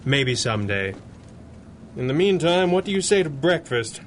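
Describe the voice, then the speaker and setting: A man's voice: fairly high pitch, sing-song, normal speed, noisy background. A man playing a suave billionaire playboy superhero with a dry, understated manner in a pulp radio drama. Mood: dry, composed. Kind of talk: 1930s pulp radio acting.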